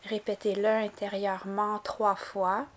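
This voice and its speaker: A woman leads a guided relaxation as a yoga nidra teacher. She speaks very slowly in a mid-pitched voice, with gentle, calm phrasing that leaves room between instructions. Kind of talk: guided relaxation meditation narration.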